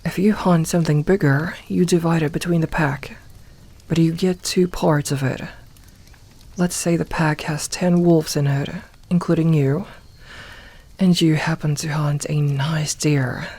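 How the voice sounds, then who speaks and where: A woman voicing a gentle, comforting young werewolf in a soft ASMR roleplay, talking intimately and reassuringly to one listener with light humour. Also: deep voice, fairly even intonation, measured pace, breathy edge, full character voice. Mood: explaining, playful. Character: gentle, comforting young female werewolf, playful self-styled lone wolf.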